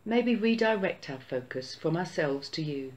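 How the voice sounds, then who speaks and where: A church prayer leader, slowly reading a solemn Easter prayer. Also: mid-range voice, expressive. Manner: slow, measured, solemn reading. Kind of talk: read-aloud Easter prayer.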